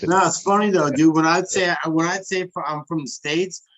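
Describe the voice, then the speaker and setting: A man's voice: a little high, fairly even intonation, moderate pace, fairly bright tone. A laid-back man from California chats on a video call, loose and slangy. His talk comes in easy, offhand bursts full of casual swearing. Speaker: host.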